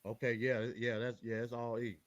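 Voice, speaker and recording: Blunt guy calling in on his phone, swears a lot, deeper voice, muffled lo-fi sound. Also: little pitch movement, normal speed. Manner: blunt, profane. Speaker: call-in guest. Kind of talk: casual political argument. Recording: dull lo-fi phone call.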